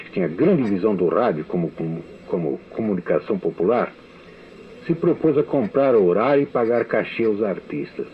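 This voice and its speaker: An older man from Brazil with a Brazilian Portuguese accent, reading his prepared memoir as a recorded testimony, measured and deliberate. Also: low voice, a little hiss or hum. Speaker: writer giving a recorded testimony. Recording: tinny phone-like archive radio recording.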